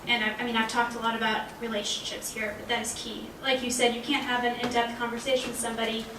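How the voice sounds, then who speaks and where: Young woman, panelist, conversational storyteller, higher-pitched, roomy recording with faint noise. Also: little pitch movement, fast talker. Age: 20s. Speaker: panelist, language graduate. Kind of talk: conversational panel talk with personal anecdotes. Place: college panel event room. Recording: roomy, distant mic, faint background noise.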